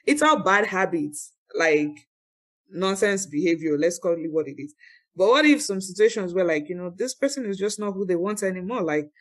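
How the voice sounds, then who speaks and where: A man's voice: high voice, animated, normal speed, fairly bright tone. A young man hosting a casual podcast chat, rambling through his points and playing devil's advocate. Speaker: podcast host.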